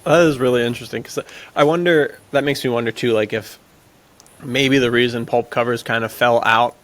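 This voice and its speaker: A man with a medium-pitched voice co-hosts a podcast. He speaks in a casual, conversational way, as in an informal group chat. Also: steady tone, brisk, fairly bright tone.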